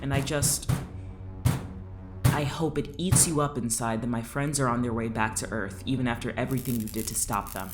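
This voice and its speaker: Actress as a snarky defiant woman prisoner, really low voice, music behind, radio play. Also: fairly even intonation, normal speed. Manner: snide, mock-patient. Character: defiant, sarcastic young woman captive. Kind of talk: audio drama acting.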